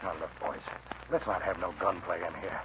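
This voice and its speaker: An actor playing an uneasy, peace-making older rancher with an American Western accent, hesitant and folksy, in old radio style. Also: male voice, low voice, steady tone, normal speed, close to an everyday voice. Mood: nervous. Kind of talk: 1940s radio western acting. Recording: muffled, noisy old radio recording.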